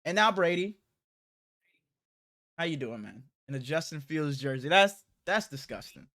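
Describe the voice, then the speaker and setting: A man's voice: on the high side, animated, normal speed, sharp tone. A man hosting a casual sports podcast, slightly congested, talking in loose, profane slang. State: slightly congested, under the weather.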